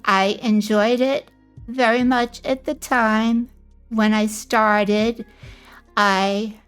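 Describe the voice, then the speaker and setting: This is a woman streamer introducing herself in a relaxed, meandering way, with frequent pauses between her thoughts. Her pitch sits in the middle range and she speaks at a very slow pace. Faint background music plays underneath her voice. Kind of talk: casual self-introduction stream.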